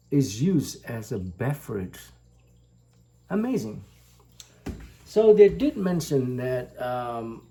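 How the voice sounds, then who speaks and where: Indonesian-born male vlogger, slow, mellow, halting delivery. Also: medium pitch. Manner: slow, halting, many fillers. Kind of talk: casual talk to camera.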